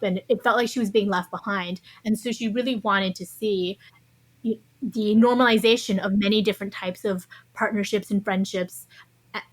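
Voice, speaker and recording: A woman's voice: medium pitch, low-quality recording. A woman speaking as an interview guest, explaining her subject in an articulate, conversational way.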